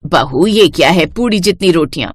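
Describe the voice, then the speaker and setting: A woman's voice: fairly low pitch, animated, moderate pace, slightly rough, pitched below the performer's own voice. A woman voicing an irritable older mother-in-law in a cartoon story, scolding and exasperated.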